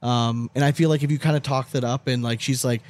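A man's voice: mid-range voice, fairly even intonation, fairly quick, darkish tone. A man hosting a casual sports podcast, talking in a blunt, rambling, loose way with some swearing. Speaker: podcast host.

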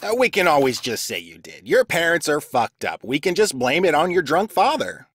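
A man acting as a smug, unprofessional school official in an audio drama. His theatrical delivery swings widely in pitch, gleeful and spiteful, recorded close and dry. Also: wildly swooping pitch, moderate pace, a bit bright, breathy edge, no room sound, full character voice.

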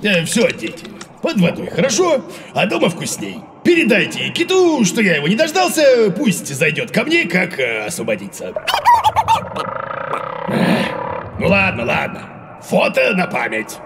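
A man's voice: high voice, wildly swooping pitch, measured pace, vocal fry, background noise, exaggerated voice. A man voicing a grumpy, bumbling know-it-all bearded teacher creature in a children's cartoon, blustering and muttering. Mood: self-assured. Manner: blustering, muttering, self-assured. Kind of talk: animated children's cartoon acting.

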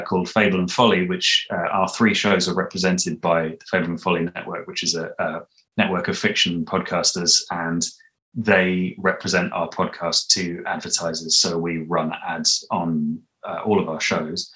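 Man, 30s, very low voice, podcast creator in a casual interview, halting explanatory delivery. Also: steady tone, bright, forceful tone, low-quality recording. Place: small indoor room at home. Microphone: video call, computer at arm's length.

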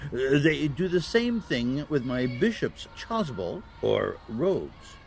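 Kindly, elderly Saint Nicholas male actor for a family audio drama: gravelly, lively, avuncular. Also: mid-range voice, takes it slowly, slight background noise, exaggerated voice. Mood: patient, kindly. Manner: avuncular, patient explaining with chuckles and hesitations.

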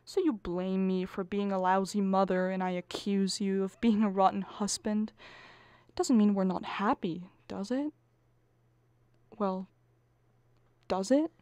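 A woman's voice: mid-range voice, fairly even intonation, measured pace, pauses often, mellow, no room sound, close to an everyday voice. A woman playing an anxious, bickering middle-aged wife and exasperated mother in a radio comedy play, pleading and arguing melodramatically. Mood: reflective, uneasy. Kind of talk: stage comedy acting for radio.

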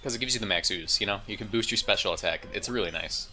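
A man's voice: fairly low pitch, fast talker, sharp tone, faint music behind. A slightly congested man giving casual, informal gameplay commentary, thinking aloud as he goes. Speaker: gaming video host.

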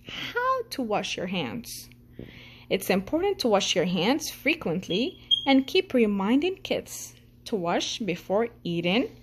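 A woman reading a children's picture book aloud in a gentle storytelling manner. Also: a little high, husky. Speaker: storytime reader.

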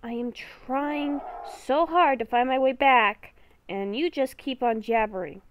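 A woman's voice: high voice, a little slow, dry recording. A woman playing a stubborn, exasperated young former werewolf in an improvised game roleplay, whiny, emphatic and argumentative.

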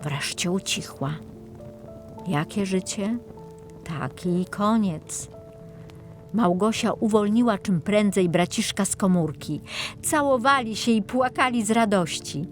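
Female storyteller, unhurried pace, mid-pitched, measured dramatic fairy-tale narration, faint music underneath.

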